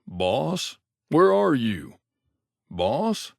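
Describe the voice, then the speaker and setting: An actor playing a wry, gruff mercenary companion in a video game, speaking in a conversational, grumbling way. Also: male voice, mid-range voice, sing-song, drawn-out words, darkish tone, dry recording, clean studio sound, exaggerated voice. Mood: wary grumbling. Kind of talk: game character voice lines.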